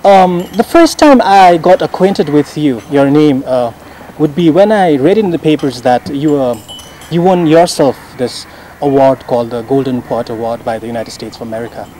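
Lively young radio presenter interviewing outdoors. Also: male voice, fairly high pitch, normal speed, poor audio. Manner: lively, admiring questions with frequent "mm-hmm" backchannels. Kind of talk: interview hosting. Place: outdoor garden. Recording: outdoor recording with faint background noise.

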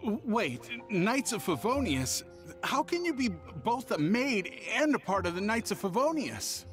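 A man's voice: high-pitched, very animated, unhurried, fairly bright tone, soft background music, full character voice. A man playing a nervous, cowardly young swindler in an anime game, stammering and hesitant. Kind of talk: anime game character dialogue.

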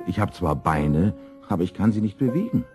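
A man's voice: sits low, lively intonation, brisk, pitched above the performer's own voice. A man voicing a fretful, mournful old piano in a children's story, with a plaintive manner. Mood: sad.

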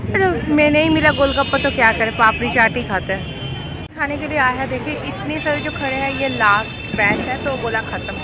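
A woman's voice: high-pitched, normal speed. A woman in her thirties chatting to her phone camera while walking a busy city street at night, tired from all the walking. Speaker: vlogger. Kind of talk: casual walking vlog. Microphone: phone held at arm's length. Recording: loud street noise and crowd. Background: traffic and crowd noise.

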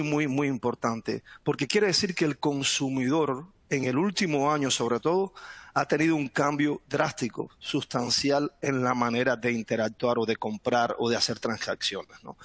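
A middle-aged man from Cuba giving a webinar presentation over a headset from home, relaxed, often repeating words and adding "¿no?". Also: mid-range voice, dry recording. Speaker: guest speaker, sales manager. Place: home room indoors. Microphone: headset on video call.

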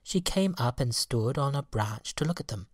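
Very low-voiced woman reading a story. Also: lively intonation, normal speed, slightly rough, no room sound, studio quality. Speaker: storybook reader. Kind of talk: scripted children's story narration.

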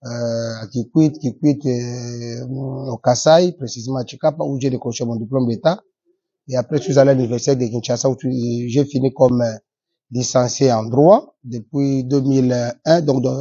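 A man in his forties with a Congolese French accent speaks in a formal, measured way, pausing often on "euh". His voice is very dark and husky, and the recording sounds dull and lo-fi. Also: medium pitch. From Democratic Republic of the Congo. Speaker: politician guest. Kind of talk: interview answers.

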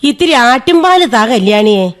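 A woman's voice: on the high side, animated, normal speed, close to an everyday voice. A woman playing a plain-spoken, chiding old village great-aunt in a radio drama, chatty and busy. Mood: brisk, busy. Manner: chatty, proverb-quoting, mildly reproachful.

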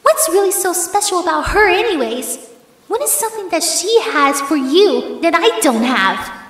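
A woman's voice: extremely high pitch, very animated, fairly bright tone, heavily acted. A woman playing a possessive, obsessive yandere ex-girlfriend in a horror ASMR roleplay, cooing endearments with menace. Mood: angry, jealous. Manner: slow, drawn-out, cooing endearments turning threatening. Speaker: audio roleplay performer. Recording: echoey reverberant hall effect.

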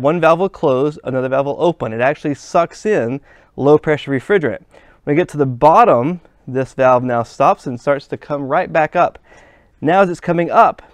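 A man's voice: mid-range voice, dry recording. A man teaching a hands-on trade lesson, explaining step by step as he works with parts at a workshop bench. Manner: plain, step-by-step explaining while handling parts. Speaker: HVAC instructor. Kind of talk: hands-on technical demonstration.

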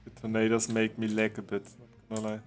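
A man casually chatting with a friend while they play a game together, relaxed and joking, laughing now and then. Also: lowish voice, fast talker. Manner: relaxed, joking, chuckles often. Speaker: game streamer. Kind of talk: casual gaming chat with a friend.

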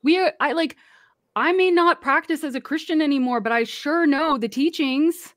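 Livestream guest: a deep-voiced woman, passionate and direct, slightly high pitch, dry close sound. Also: normal speed, no room sound. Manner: impassioned, speaks out forcefully. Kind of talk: livestream panel discussion.

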